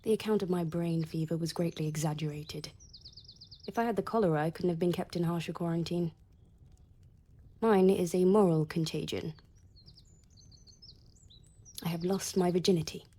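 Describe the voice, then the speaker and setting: A bitter young gentlewoman ruined by love, played by an actress, with a lowish, husky and mellow voice. She is sardonic and intense in the manner of radio drama, haughty on the surface and wounded underneath. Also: steady tone, fairly quick, close to an everyday voice. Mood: sardonic, brittle. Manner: sardonic, intense, swinging into hushed whispers.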